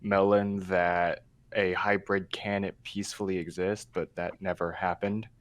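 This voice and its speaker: Low-voiced man in casual podcast chat. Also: flat delivery, a little slow, no room sound. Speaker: podcast co-host. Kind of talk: casual opinionated fan discussion.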